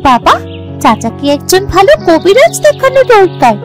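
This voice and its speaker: Cartoon village child: kind little girl, extremely high voice, gentle, earnest, light music behind. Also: wildly swooping pitch, normal speed, exaggerated voice. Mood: caring, earnest. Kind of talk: Bengali moral cartoon voice acting.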